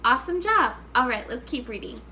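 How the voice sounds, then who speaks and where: Bright-voiced woman teacher reading aloud to children. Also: on the high side, brisk, a little hiss or hum. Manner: expressive, instructive, repeats questions for pupils. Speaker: first grade teacher.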